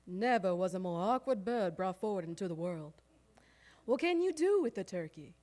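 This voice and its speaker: A woman's voice: medium pitch, animated, moderate pace, dark tone, lightly acted. An actress performs a harried old-time Southern household woman in a live stage monologue. She is wry and proud, with a mid-range voice, and dwells emphatically on each detail as she reminisces. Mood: wry, exasperated. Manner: wry, emphatic, lingering over lists. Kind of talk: theatrical storytelling monologue on stage.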